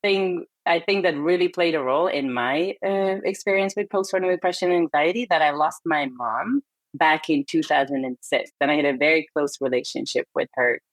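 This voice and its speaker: A woman's voice: low voice, fairly quick, dry recording. A woman in her thirties from Hungary, speaking with a Hungarian accent as a podcast guest, candid and reflective with hesitant fillers. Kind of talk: casual interview chat.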